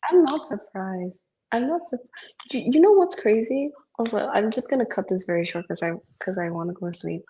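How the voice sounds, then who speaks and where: A woman's voice: mid-range voice, lively intonation, fairly quick, warm tone, slightly rough, poor audio. A young woman who grew up speaking Indonesian, venting in English in a casual podcast chat, rambling and swearing with lots of filler words. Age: young adult. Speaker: podcast co-host.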